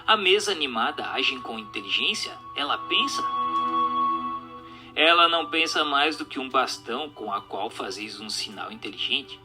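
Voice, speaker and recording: A man with a Brazilian accent giving a dramatized reading of a spiritual text in a formal, didactic, clearly enunciated manner. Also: mid-range voice, expressive, normal speed, over loud music. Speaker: narrator.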